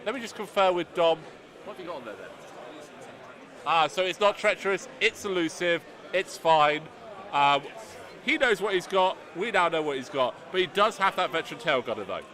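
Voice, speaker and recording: A British man hosting live commentary of a miniatures tournament, speaking loudly and chattily with a self-deprecating touch. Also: on the high side, expressive, normal speed. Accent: British English. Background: crowd chatter of a tournament hall.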